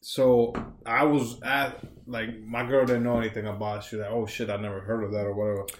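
A man's voice: lowish voice, flat delivery, fairly quick, echoey room, slight background noise. A man co-hosting a podcast in casual chat, rambling with lots of filler words. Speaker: podcast co-host.

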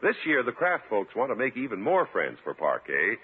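Highly animated 1950s male radio announcer. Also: normal speed, breathy edge. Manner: polished, emphatic salesman delivery. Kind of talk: 1950s scripted radio announcing and sponsor read. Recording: muffled 1950s radio recording, faint hiss.

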